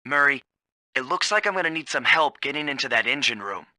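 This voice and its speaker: A confident cartoon thief giving mission directions in a video game. The voice is mid-pitched and very bright, casual and assured, with a dry studio sound. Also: male voice, animated, a little fast, no echo. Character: cool, confident male thief leader, cartoon animal hero.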